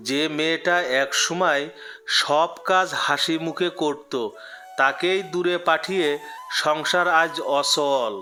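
A man's voice: on the high side, fairly even intonation, slow pace, bright, forceful tone, soft background music. A man reading scripted narration for a cartoon moral story.

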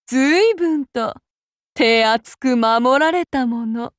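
Slow, high-voiced, courteous, menacing avenger demigoddess. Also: female voice, no room sound, clean studio sound. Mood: amused, courteous. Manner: archaic formal polite speech, slow and deliberate. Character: soft-spoken, courteous, menacing female avenger demigod warrior. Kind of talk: anime mobile game voice lines.

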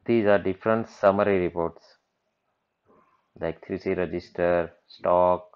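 A man with a very low voice explains software screens in a plain, matter-of-fact way. The sound is dull and lo-fi, like a basic computer mic. He moves step by step through each screen. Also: fairly even intonation, unhurried, clear, resonant tone. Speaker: software demonstrator. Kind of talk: screen-recorded software walkthrough.

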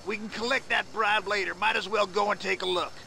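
An actor playing a jaded, brusque, corrupt police officer in a video game. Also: male voice, high-pitched, steady tone, fairly quick, gravelly, heavy background noise. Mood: weary. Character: jaded corrupt male cop calling out robbers. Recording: game audio under loud sirens.

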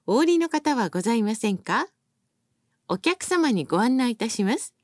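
A woman delivers recorded bus announcements in a fairly high voice with a lively, melodic rise and fall. She is formal and courteous and articulates each phrase carefully. The sound is close and dry, with very little room around it. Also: moderate pace, no room sound. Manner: formal, polite, carefully enunciated.

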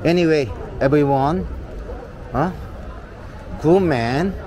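A man's voice: on the high side, slow, noisy background. A man with a Korean accent leading a live walking tour, talking in short broken English phrases with a constant "huh?" to viewers, walking a busy night street. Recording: outdoor street with crowd and music. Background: street crowd and loud music.

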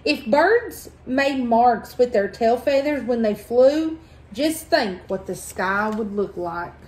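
A middle-aged woman reading a picture book aloud to children, phrasing expressively and deliberately. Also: on the high side, lively intonation, poor audio. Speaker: library storytime reader. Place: indoors at a table in a small room.

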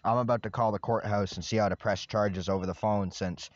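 A young man ranting loudly and rambling into his phone from inside a parked vehicle. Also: on the low side, fairly even intonation, fairly quick, dry recording, lo-fi sound. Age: young adult. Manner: loud, agitated, rambling rant. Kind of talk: ranting selfie vlog. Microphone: phone at arm's length.

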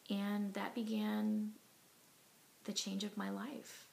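A woman in her forties telling her own story to camera from her living room, earnest and reflective, often soft and breathy, with many ums and you knows. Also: mid-range voice, monotone, slow pace, slight background noise. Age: 40s. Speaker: heart attack survivor telling her story. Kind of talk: personal testimony to camera. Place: living room at home, indoors. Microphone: device camera at arm's length, sitting close.

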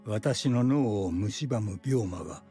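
A man playing an ailing elderly researcher in anime, reading out his letter to his granddaughter in a solemn, measured, regretful way. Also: lowish voice, lively intonation, dark tone, breathy edge, faint music behind, full character voice. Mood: solemn, tender. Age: older. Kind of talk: dramatic letter narration in anime.

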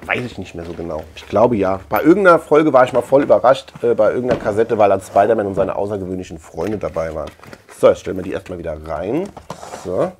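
A middle-aged man from Germany chats casually to camera, thinking out loud in a colloquial, rambling way. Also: lowish voice, lively intonation, fast, a little hiss or hum. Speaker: collector and video channel host. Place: small indoor room lined with shelves.

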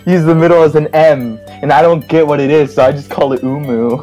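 A man's voice: fairly high pitch, fairly even intonation, brisk, mellow, faint music behind. A man chatting casually on a game stream, playfully riffing on absurd ideas. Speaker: guest player in game stream. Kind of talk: casual joking chat.

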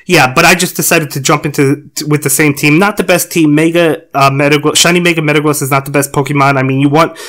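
A young man talks through a mobile puzzle game with a hoarse, cold-roughened voice. His pitch sits a little high for a man, and his casual commentary wanders with frequent fillers, self-corrections and restarts. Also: fairly even intonation, fast talker, few pauses, fairly bright tone. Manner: rambling, many fillers and restarts. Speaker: gaming channel host.

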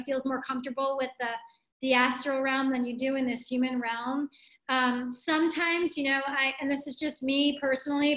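A woman's voice: sits high, a bit bright. A woman in her forties giving a spiritual webinar from her living room over a webcam, thinking aloud and gesturing as she speaks. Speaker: spiritual teacher. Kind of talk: webinar talk with viewers. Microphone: webcam on video call. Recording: roomy webcam audio.

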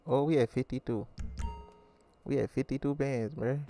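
A man's voice: mid-range voice, steady tone, normal speed, warm tone, soft background music. A man giving casual, slang-heavy commentary while playing a crime role-play game, calling his viewers gang. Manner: slangy, laid-back, calls viewers gang. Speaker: gaming YouTuber playing a role-play series.

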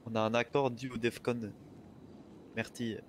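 A man's voice: medium pitch, level tone, a little slow. Hesitant man playing a cop, noisy voice chat. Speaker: player role-playing a police officer in an online game. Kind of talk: improvised police role-play, procedural speech.

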